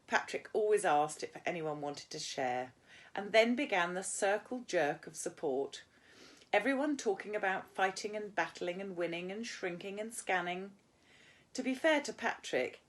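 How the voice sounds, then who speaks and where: Low-pitched British woman teacher reading aloud. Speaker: English teacher. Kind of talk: reading a novel aloud with informal teaching asides.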